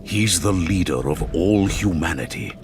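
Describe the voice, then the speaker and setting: A man playing a stern, dutiful wounded warrior in a video game, gruff and dry. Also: low-pitched, lively intonation, unhurried, music in the background. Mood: stoic, dry. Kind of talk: video game cutscene acting. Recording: loud ambient noise over the voice.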